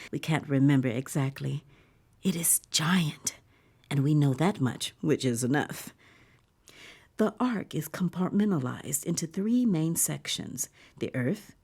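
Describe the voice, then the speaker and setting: A woman performing audio fiction as an awed theme-park visitor in a bleak future, mixing breathy wonder with wry understatement and often slipping into a whisper. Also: deep voice, a little mellow, full character voice. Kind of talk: dramatic first-person audio fiction narration.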